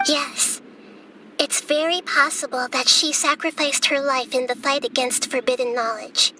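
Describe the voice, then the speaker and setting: Nahida, a high-voiced gentle child deity. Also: female voice, steady tone, background noise. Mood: solemn. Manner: measured, earnest, explanatory. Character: Nahida, small childlike female deity, gentle, wise and solemn. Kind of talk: video game voice acting. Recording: loud music behind. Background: loud game music.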